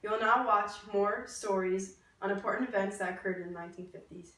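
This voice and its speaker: A woman's voice: mid-range voice, brisk, a bit bright. A woman anchors a news programme from a script in a mid-range voice. She is far from the microphone, and the room echoes very strongly around her.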